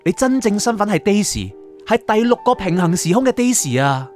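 A woman's voice: mid-range voice, big pitch swings, a little fast, a little mellow, close to an everyday voice. A woman playing an insistent confidante in a radio drama, persuasive and questioning, with a Hong Kong Cantonese accent. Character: insistent woman urging heroine to accept true identity. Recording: music bed behind the voice.

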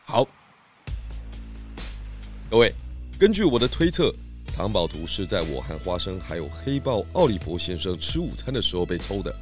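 An actor playing a confident male pig detective in a children's audio drama, explaining things in a measured way. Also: low voice, big pitch swings, a little mellow, soft background music. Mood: serious, deducing.

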